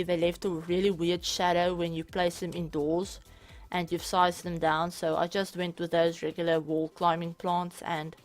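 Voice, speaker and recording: A woman, a bit hoarse from being sick, talking casually and rambling over footage of a game build, often correcting herself mid-sentence. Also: on the low side. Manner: rambling, self-correcting, chatty. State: hoarse from illness. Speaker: channel host. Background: soft background music.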